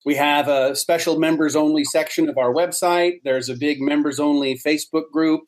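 Male interview guest, voice a little on the high side, relaxed explanatory conversation. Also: moderate pace. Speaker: interview guest, society co-founder. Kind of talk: casual explanatory interview talk.

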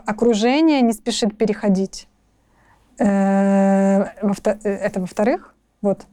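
A young woman from Ukraine answering interview questions, her voice pitched a little high but mellow and soft in tone. She talks thoughtfully, pausing often, repeating words and restarting her sentences as she works through her answers. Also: clean tone. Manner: thoughtful, with frequent restarts and hesitations. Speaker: interview guest. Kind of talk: conversational interview.

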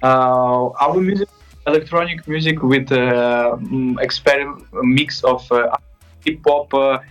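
A man's voice: mid-range voice, steady tone, fairly bright tone. Hesitant male musician, faint music. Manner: halting, hesitant, many fillers. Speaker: band member, guest.

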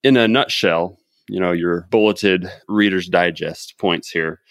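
A man's voice: sits low, a little slow, no echo, clean studio sound. A man hosting a podcast, chatting and summing up advice in an upbeat coaching tone. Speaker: podcast host. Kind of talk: casual podcast chat and summary.

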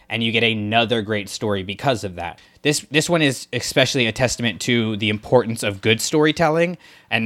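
A man co-hosting a podcast, talking casually with lots of fillers and getting excited as ideas come to him. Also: on the low side. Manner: rambling, filler-heavy, gets excited. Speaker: podcast co-host.

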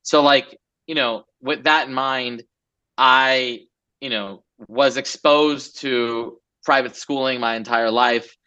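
Bright-voiced man, relaxed rambling talk. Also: medium pitch, slow, muffled recording. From Los Angeles. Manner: relaxed, rambling, many fillers. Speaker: guest entrepreneur. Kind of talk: casual interview chat.

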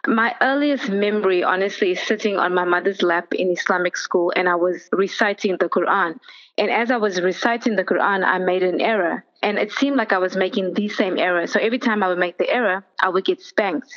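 A middle-aged woman, telling her story in an earnest, steady way as an interview guest. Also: medium pitch, fairly quick, dull audio. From South Africa. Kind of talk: personal testimony in an interview.

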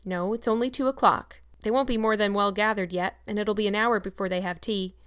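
A woman reading an audiobook voices a stern, sharp-tongued older farm woman who talks in a brisk, scolding, no-nonsense way. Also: mid-range voice, fairly even intonation, smooth, no room sound, clean studio sound, natural voice. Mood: relenting.

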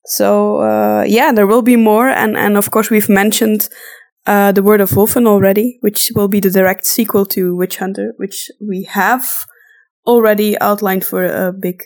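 A woman's voice: medium pitch, steady tone, normal speed, darkish tone. A woman co-hosting a podcast, casually reading and answering questions from listeners. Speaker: podcast co-host.

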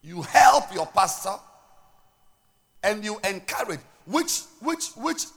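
A man with a Ghanaian accent preaching a sermon as pastor, swinging between loud declarations and quiet asides and repeating words as he restarts. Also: high-pitched, creaky.

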